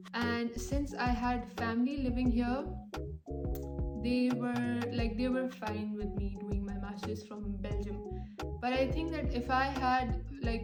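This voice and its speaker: A woman's voice: on the high side, reverberant. A young woman from Pakistan in her twenties, chatting casually to camera as a vlogger, with lots of "like" and "um". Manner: chatty, many fillers like "like" and "um".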